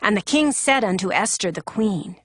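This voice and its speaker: A woman's voice: mid-range voice, lively intonation, normal speed, fairly bright tone, breathy edge, no room sound. A woman narrating a dramatized scripture reading in a formal, solemn storytelling manner. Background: background music.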